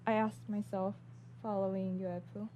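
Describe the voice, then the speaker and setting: A woman's voice: medium pitch, flat delivery, moderate pace, dark tone, muffled recording, a little hiss or hum. A woman author reading her own short fiction aloud as a guest.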